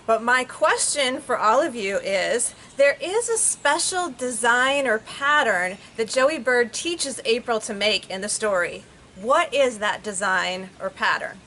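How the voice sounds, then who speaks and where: A woman's voice: fairly high pitch, a bit bright. A woman author giving an informal talk about her children's book, recorded outdoors in a backyard. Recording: faint outdoor background noise.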